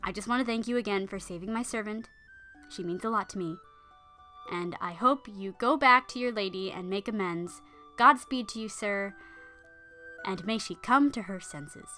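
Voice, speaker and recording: A woman's voice: mid-range voice, moderate pace, pushed and bright, soft background music, lightly acted. Woman voicing a frank, kindly noble lady. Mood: grateful. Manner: chatty, frank, informal. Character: forthright, kindly noble lady, curious and grateful. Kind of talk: casual modernized storytelling dialogue.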